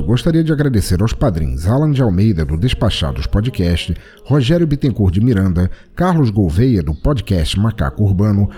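A man's voice: lowish voice, mellow. A Brazilian man hosting a podcast of short stories, speaking in a scripted, formal and literary way. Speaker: podcast host and story narrator. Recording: music behind the voice. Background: music.

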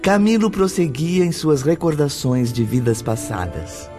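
A man's voice: on the high side, lively intonation, a little slow, dark tone. A man narrating a radio drama from a script in a solemn, declamatory manner. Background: music.

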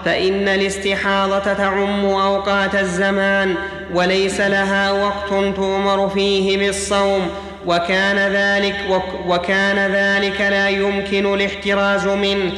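A man's voice: sits high, monotone, a little slow, sharp tone, faint music behind. A man reading a classical religious text aloud as a student in a lesson, in a formal reciting manner. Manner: formal recitation, repeating phrases when corrected. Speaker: student reader. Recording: echoey hall with long reverb.

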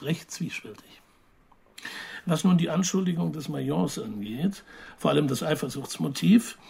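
A journalist, very husky, higher-pitched voice, creaky edge, measured, sceptical, radio drama. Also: male voice, a little mellow, full character voice. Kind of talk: radio play narration and dialogue.

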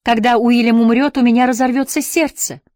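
A woman's voice: a little high, steady tone, brisk, darkish tone, no echo, voice pushed up, close to an everyday voice. A woman narrator voicing a passionate, nostalgic former schoolteacher who swings between wistfulness and scolding. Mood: impassioned. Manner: impassioned, by turns wistful and scolding. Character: passionate middle-aged woman, former teacher, fond and scornful.

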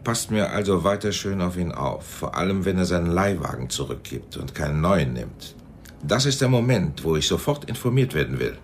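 Low-voiced, shrewd spymaster. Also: male voice, sing-song, a little hiss or hum. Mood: dry, commanding. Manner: measured, authoritative, dryly ironic. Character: shrewd, commanding Israeli intelligence chief, sly and patient. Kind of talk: German radio drama acting.

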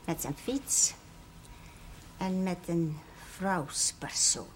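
An actress playing a sly village woman passing on gossip in a slow, hushed way. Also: lowish voice, slight background noise. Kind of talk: 1970s television drama acting.

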